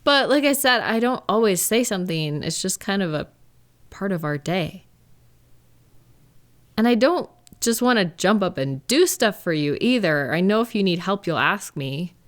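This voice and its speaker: A woman playing a caring, reassuring live-in partner in an intimate audio roleplay, speaking gently and conversationally with thoughtful, hesitant pauses. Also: mid-range voice, lively intonation, lightly acted. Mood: gentle, understanding. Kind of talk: intimate one-sided comfort roleplay, conversational acting.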